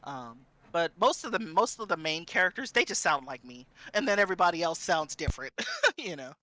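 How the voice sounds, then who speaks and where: A woman's voice: lowish voice, big pitch swings, a little fast, sharp tone, no room sound, rough recording. A woman from Southern California chatting casually as a streamer on a panel, in an informal, rambling way peppered with "you know". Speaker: visual novel streamer, panelist.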